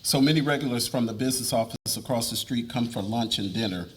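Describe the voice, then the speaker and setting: A man's voice: mid-range voice, quick pace, fairly bright tone, slight background noise, natural voice. A man reading the part of an earnest, polite young college student in a dramatic reading of a novel. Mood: matter-of-fact.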